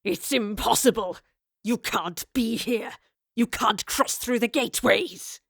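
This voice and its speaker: A woman's voice: mid-range voice, expressive, measured pace, pushed and bright, dry recording, clean studio sound. A woman voicing a ruthless, volatile man in an audio drama, given to furious outbursts. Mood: frantic.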